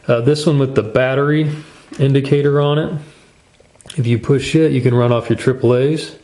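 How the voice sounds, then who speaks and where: A man's voice: medium pitch, steady tone, normal speed, warm tone. A man hosting a casual product review in an informal, folksy, plain-spoken way. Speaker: gear review channel host.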